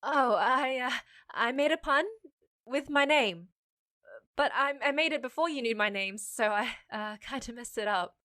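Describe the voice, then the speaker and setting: An actress playing a frank, wry half-human job seeker in a fiction podcast, candid and slightly awkward. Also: female voice, a little high, moderate pace, bright, forceful tone. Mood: friendly, awkward.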